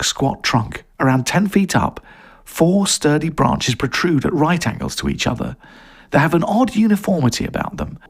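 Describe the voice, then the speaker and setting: Husky Englishman narrating a story. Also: a little high. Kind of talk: scripted audio story narration.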